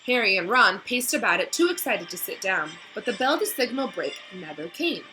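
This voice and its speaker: A woman's voice: mid-range voice, big pitch swings, moderate pace, pushed and bright, faint music behind, low-quality recording. A woman reading a children's book aloud, with casual asides to listeners. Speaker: reader of a children's read-aloud, addressing listeners.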